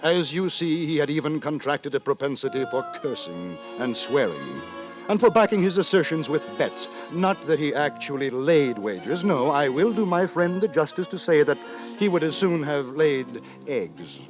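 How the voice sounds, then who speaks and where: An actor playing a fussy, pompous gentleman narrator in an old-time radio drama, speaking in florid, theatrically indignant phrases. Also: fairly high pitch, very animated, moderate pace. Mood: aggrieved lamenting. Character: fussy, pompous gentleman narrator lamenting his wayward friend. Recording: old radio recording with loud music behind.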